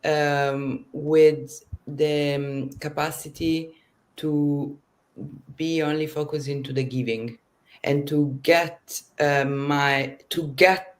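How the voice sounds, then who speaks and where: A woman neuroscientist answering questions in a casual interview, speaking haltingly as she searches for words. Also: sits low. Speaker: neuroscientist guest.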